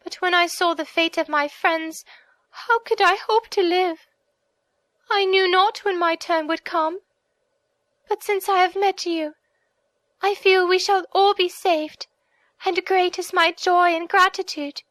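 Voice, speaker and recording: Woman acting a young captive princess, very high voice, formal, earnest, pleading, storybook audiobook, close dry sound. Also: fairly even intonation, unhurried, long pauses, fairly bright tone, no echo. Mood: anguished, then grateful. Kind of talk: audiobook character voice.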